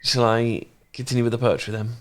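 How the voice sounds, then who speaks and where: A man acting as a tender, bashful housemate in an ASMR romance drama. He has a mid-pitched voice and talks softly and intimately, with a halting, smitten manner. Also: little pitch movement, natural voice. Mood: gentle, relaxed. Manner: halting, gentle, intimate, flustered.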